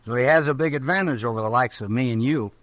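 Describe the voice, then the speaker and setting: An actor playing a brash, hot-headed young drunk cowhand in an old Western radio drama, talking in folksy cowboy speech. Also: male voice, mid-range voice, quick pace, a bit bright, no room sound, close to an everyday voice. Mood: boastful.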